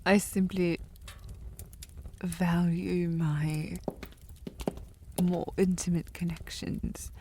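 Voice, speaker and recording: A woman acting a haughty, slightly tipsy young upper-class lady in a historical romance audio drama, with prim formal diction and a breathy voice that often drops to a whisper. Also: fairly low pitch, little pitch movement, very slow, pauses often, noisy background. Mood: hurt, coy. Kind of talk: historical romance audio roleplay, intimate one-sided dialogue.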